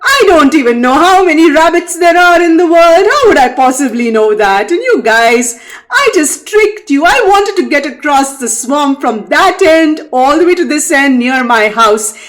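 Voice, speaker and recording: A woman's voice: on the high side, expressive, a little slow. A woman voicing a boastful male trickster rabbit in a folktale, teasing and laughing. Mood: gleeful.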